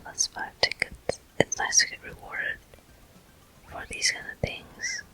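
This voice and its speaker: Hushed whispering ASMR gamer. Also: faint music behind. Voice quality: soft unvoiced whisper, breathy. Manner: gentle, unhurried, talking in short phrases to viewers. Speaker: video game player and host. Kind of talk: whispered ASMR game commentary.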